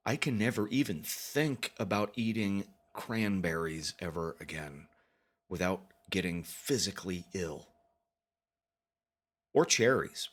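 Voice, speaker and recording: A man with a fairly low voice narrates a personal story like a confession. His delivery is very slow and halting, with deliberate pauses. He leans on words with mock-grave, theatrical weight.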